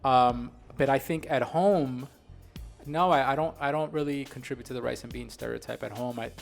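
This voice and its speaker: A man's voice: medium pitch, normal speed, vocal fry, faint music behind. Laid-back man from New York. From New York, of Colombian family. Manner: relaxed, hesitant, many ums and restarts. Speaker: podcast co-host. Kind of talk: casual chat.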